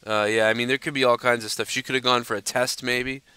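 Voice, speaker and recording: A young man hosting a live stream and answering viewer questions in a loose, profane, blunt way, his nose a bit stuffed up so he sounds slightly nasal. His voice is bright and clean, and the recording is dry, with no room echo. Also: mid-range voice, little pitch movement, fast talker, clean tone. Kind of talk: casual live-stream chat.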